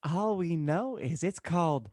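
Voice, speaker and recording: A man's voice: a little high, expressive, unhurried, darkish tone, no room sound, studio quality. A man co-hosting a comedy podcast, chiming in during a fan-fiction read-along. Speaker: podcast co-host.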